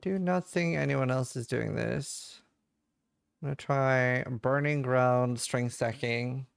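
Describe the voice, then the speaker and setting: A man's voice: mid-range voice, slow, creaky, clear, resonant tone, no room sound, studio quality. A man from Norway, casually chatting and thinking aloud while he streams a game and answers chat questions.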